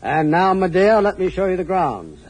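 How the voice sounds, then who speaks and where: A man playing a controlling, morbidly calm older husband in an old radio horror drama, folksy and menacing. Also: sits high, moderate pace, full character voice, rough recording. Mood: commanding, menacing. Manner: folksy, menacing, matter-of-fact. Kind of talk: 1940s radio drama acting. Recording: old radio broadcast with faint noise.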